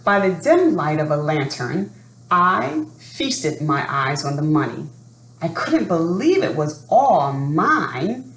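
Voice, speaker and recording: A woman presenting and reading a picture book to children in an expressive storytelling style, addressing young listeners directly. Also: low-pitched. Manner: expressive, asks young listeners questions and pauses. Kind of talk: read-aloud storytelling for children. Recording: roomy sound with faint background noise.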